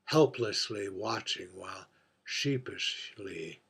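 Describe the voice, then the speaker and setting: A man playing a husky, world-weary drag performer. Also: fairly low pitch, animated, heavily acted. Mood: melancholy, philosophical. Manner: confiding, deliberate, swinging from hushed breathy murmurs to emphatic bursts. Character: world-weary, wry drag performer named Trick, confiding over drinks. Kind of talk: theatrical dramatic monologue read by its author.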